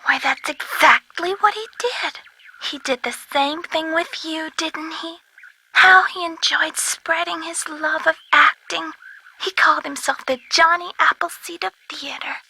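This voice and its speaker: Creaky, piercing, fluttery old lady. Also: very high voice, measured pace, sharp tone, full character voice, low-quality recording. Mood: chatty, wistful. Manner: genteel, wordy, fluttery. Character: quaint, fluttery, kindly elderly lady. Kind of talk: video game character dialogue.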